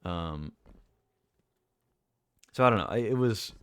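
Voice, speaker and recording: A young man with a deep voice, talking casually and rambling with lots of fillers and restarts as a streamer. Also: expressive, takes it slowly, smooth, no room sound. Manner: rambling, self-correcting, many fillers.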